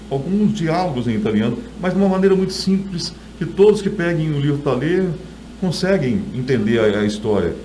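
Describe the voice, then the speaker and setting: A man's voice: mid-range voice, warm tone, rough recording. A middle-aged man speaks with a Brazilian accent in a conversational interview. He is an author telling stories in a mid-range voice. Some light noise sits under the recording.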